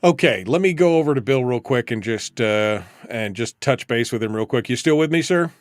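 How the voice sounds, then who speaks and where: A man's voice: medium pitch, animated, moderate pace, no echo, clean studio sound. Blunt American man hosting talk radio. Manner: blunt, emphatic, repeats points for stress. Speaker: radio talk show host. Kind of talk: opinionated call-in radio talk.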